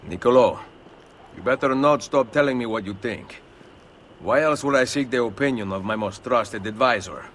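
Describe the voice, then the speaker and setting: An actor playing a commanding Italian assassin leader, resolute and dry, with an Italian accent, in a video game. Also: male voice, mid-range voice, moderate pace, a bit bright, a little hiss or hum, close to an everyday voice. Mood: dry, firm. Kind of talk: dramatic video game acting.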